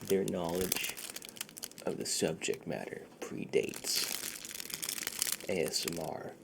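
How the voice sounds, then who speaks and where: A man playing a friendly, secretive underground ASMR dealer in a soft, breathy, half-whispered roleplay voice. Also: low voice, lively intonation, very noisy, exaggerated voice. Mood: hushed, reassuring. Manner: slow, hushed, conversational.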